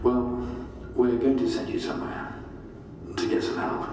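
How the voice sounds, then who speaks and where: A man's voice: medium pitch, flat delivery, a little slow, natural voice. A man playing a wary, skeptical interrogator in a game audio log, speaking with restrained, probing hesitancy. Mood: resigned. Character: wary, measured male interrogator, quietly skeptical. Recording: echoey hall from far off, noisy.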